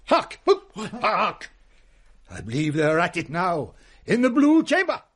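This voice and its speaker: Theatrical English uncle, swooping voice. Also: male voice, high-pitched, huge pitch swings, slow pace, fairly bright tone, exaggerated voice. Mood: hushed alarm. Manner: grandiloquent, relishing dramatic delivery. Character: jovial, theatrical Victorian uncle relishing a ghost tale. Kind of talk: melodramatic radio drama storytelling.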